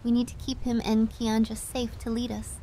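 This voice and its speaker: Woman playing high, sweet young witch. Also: completely flat delivery, moderate pace, warm tone, a little hiss or hum, lightly acted, poor audio. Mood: tender. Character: Meng Yao, gentle, devoted young witch princess. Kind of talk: audio drama roleplay acting.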